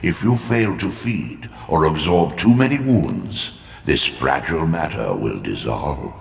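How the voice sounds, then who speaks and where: An actor playing an ancient godlike spirit mentor in a video game, with a deep, gravelly voice and slow, grave, oracular delivery. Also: male voice, expressive, exaggerated voice. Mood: instructive, grave. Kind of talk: dramatic video game voice acting. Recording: long cavernous reverb with music.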